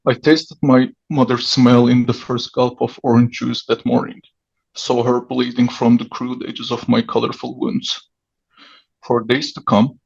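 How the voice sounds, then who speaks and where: A man whose first language is Turkish, reading his own short story aloud over a video call in a measured way. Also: medium pitch, creaky, breathy edge. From Turkey. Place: dimly lit home room. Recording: dull lo-fi video call audio.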